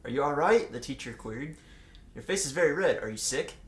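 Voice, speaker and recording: A man's voice: mid-range voice, expressive, a little fast. A man giving a casual read-aloud of fan fiction, slipping in joking asides. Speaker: co-reader reading fan fiction aloud with commentary. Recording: echoey room with faint background noise.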